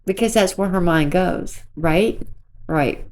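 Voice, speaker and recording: A woman with a squeaky voice giving casual, rambling commentary to her viewers, repeating herself and filling pauses with ums. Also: slight background noise. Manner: rambling, repeats herself, soft filler-laden talk. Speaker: commentary creator.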